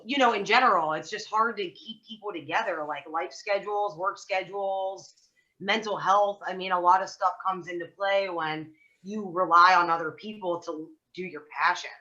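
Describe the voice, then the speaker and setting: A woman's voice: lowish voice, steady tone, moderate pace, pushed and bright, lo-fi sound. A woman vocalist, a guest on a podcast, talking thoughtfully with lots of fillers. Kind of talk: podcast conversation.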